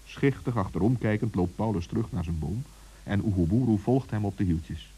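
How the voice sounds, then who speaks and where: A man tells a children's story in a low, mellow voice with a husky grain. His delivery is measured and expressive, as a scripted narrator. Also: rough recording.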